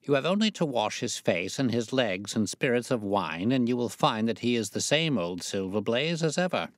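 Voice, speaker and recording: A composed gentleman detective from a storybook, acted by a male narrator. He sounds unruffled and quietly assured. His intonation moves freely, and the sound is close and dry. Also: medium pitch, lively intonation, no room sound, close to an everyday voice. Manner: unruffled, measured. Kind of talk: audiobook character dialogue.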